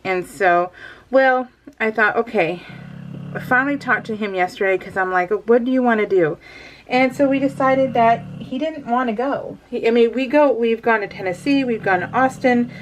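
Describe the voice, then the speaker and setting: A woman's voice: fairly high pitch, low-quality recording. Woman, casual crafting chat, rambling, giggly, faint clicks and hiss. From California. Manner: rambling, frequent laughs and fillers. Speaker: crafting vlogger. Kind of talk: casual chatty voice-over while scrapbooking.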